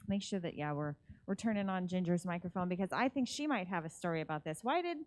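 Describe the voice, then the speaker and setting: A woman leading worship in a relaxed, rambling chat, her voice a little raspy. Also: fairly low pitch, quick pace. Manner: relaxed, rambling, with many fillers. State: slightly raspy voice. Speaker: worship leader. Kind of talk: casual chat at a church livestream.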